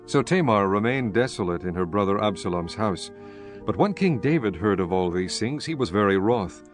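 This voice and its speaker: A man with a lowish voice narrates scripture. His delivery is formal and solemn, like a storyteller, with music underneath. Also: animated, normal speed. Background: background music.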